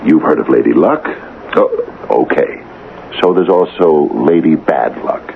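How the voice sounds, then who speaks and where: A man's voice: rumbling low, lively intonation, unhurried, vocal fry, exaggerated voice. An actor playing an anxious, superstitious small-town man who pleads and stammers earnestly, in an old-time radio drama. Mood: fearful, pleading. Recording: dull, hissy vintage radio recording.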